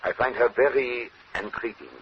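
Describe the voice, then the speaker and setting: An actor playing a brilliant, self-assured Belgian detective with a French-speaking Belgian accent and formal, precise phrasing, in an old-time radio drama. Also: male voice, mid-range voice, normal speed, close to an everyday voice. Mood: urbane, wry. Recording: muffled, noisy vintage radio recording.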